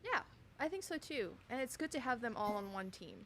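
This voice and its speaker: A woman's voice: on the high side, big pitch swings, fairly quick, fairly bright tone, slight background noise. A woman co-presenting a game showcase in casual, informal, enthusiastic chat.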